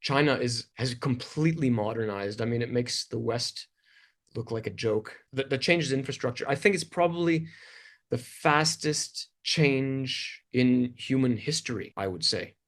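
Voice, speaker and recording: A man's voice: mid-range voice, a little slow. A middle-aged man from Canada, an interview guest, talking casually and rambling with fillers and restarts. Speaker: interview guest, English teacher.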